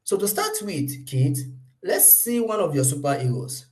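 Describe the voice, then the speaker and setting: A man's voice: fairly high pitch, sing-song, a little slow, darkish tone. A man introducing himself as the tutor of an online kids' class, polite and a little hesitant. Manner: polite, slightly hesitant with restarts.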